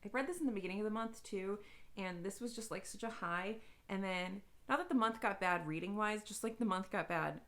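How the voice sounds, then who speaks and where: Casual book-vlog host: woman, mid-pitched, emphatic and gushing, informal, self-deprecating. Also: brisk, a bit bright. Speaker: book reviewer, video host.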